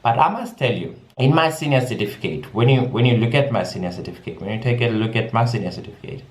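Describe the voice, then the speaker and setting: A man's voice: medium pitch, expressive, fast talker. A young man from South Africa chatting casually in a personal vlog, often repeating words as he goes. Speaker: vlogger. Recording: echoey room with music behind.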